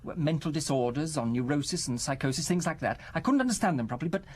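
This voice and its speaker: Actor as a fretful, fragile husband, rather high-voiced. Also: male voice, lively intonation, a little mellow, lightly acted. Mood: nervous, hesitant. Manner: hesitant, halting, self-justifying. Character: worried fragile husband who suspects his wife of poisoning. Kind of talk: audio drama acting.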